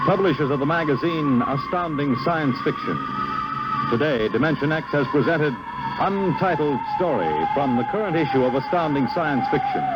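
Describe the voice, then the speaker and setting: Male 1950s radio announcer, formal measured scripted read, muffled lo-fi recording over prominent loud music. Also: a little high.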